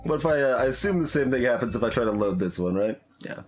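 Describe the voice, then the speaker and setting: A man's voice: medium pitch, expressive, fast. A man giving casual live commentary as he plays a game, hesitantly thinking aloud. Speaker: streamer playing a game. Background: game music.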